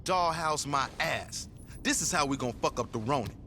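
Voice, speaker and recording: A man's voice: fairly high pitch, animated, moderate pace, pushed and bright, faint music behind. A man playing a cocky, scheming, profane gangster in a video game cutscene, brash and slangy. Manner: brash, slangy, explains at length.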